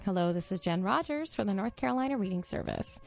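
A woman reading a magazine article aloud for a reading service, in a measured, even narration. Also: mid-range voice, creaky, faint music behind. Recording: dull, lo-fi dry studio voice.

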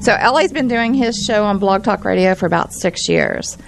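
A woman's voice: medium pitch, normal speed, gravelly, music in the background, rough recording. A woman radio host explaining things conversationally in a studio, articulate and emphatic.

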